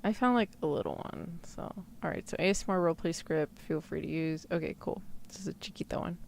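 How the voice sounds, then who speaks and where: A woman's voice: on the low side, a little fast, vocal fry, slight background noise. A woman streamer chatting casually and playfully, full of incredulous exclamations and mild swearing. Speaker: VTuber streamer and ASMR roleplayer. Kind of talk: casual livestream chat.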